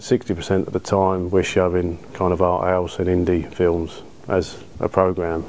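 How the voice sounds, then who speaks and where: A British man in his thirties talking informally in an interview, in a low-key, colloquial way. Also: a little mellow, slight background noise. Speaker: cinema owner being interviewed. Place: small dark cinema auditorium, indoors. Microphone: lapel.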